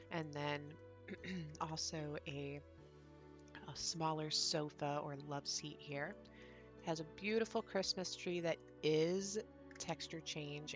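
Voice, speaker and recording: A woman vlogger, congested and raspy from just waking up, chatting enthusiastically through a home decor showcase with ums and throat clearing. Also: low voice. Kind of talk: casual product showcase narration.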